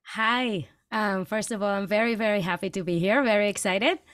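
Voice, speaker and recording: A woman's voice: medium pitch, moderate pace, a bit bright, no room sound, studio quality. A woman from Israel, a filmmaker, answering interview questions thoughtfully with frequent hesitations. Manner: thoughtful, hesitant with many ums. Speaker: guest filmmaker.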